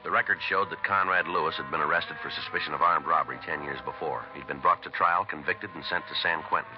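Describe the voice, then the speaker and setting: An American man playing a terse, methodical police sergeant in a 1950s radio crime drama, speaking in a deadpan, matter-of-fact, clipped way. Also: extremely low pitch, fast talker. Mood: flat, factual. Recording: dull vintage radio recording with music.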